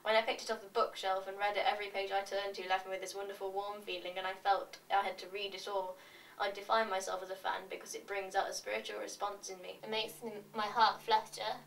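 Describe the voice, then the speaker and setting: A woman reads a monologue from some way off the mic, with faint noise around her. Her delivery is very even in pitch, awkward and deadpan, like someone reciting a script. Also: quick pace, vocal fry. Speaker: reader of fans' confessions. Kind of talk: reading a scripted monologue of fan confessions. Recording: distant mic, roomy cellar, faint noise.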